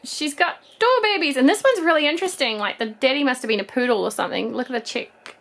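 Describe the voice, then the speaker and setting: A woman, casually showing off secondhand plush toys in a chatty, gushing way. Her voice is a bit croaky from a cold. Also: sits high, animated, fast talker, a bit bright. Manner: chatty, gushing over toys, frequent ums. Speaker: toy collector vlogger. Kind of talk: casual haul show-and-tell.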